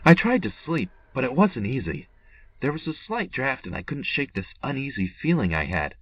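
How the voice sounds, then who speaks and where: Muffled, animated weary businessman played by a man. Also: mid-range voice, moderate pace. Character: weary, wry travelling businessman, reluctant and uneasy. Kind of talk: old-time radio drama acting. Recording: muffled, distant, loud music behind.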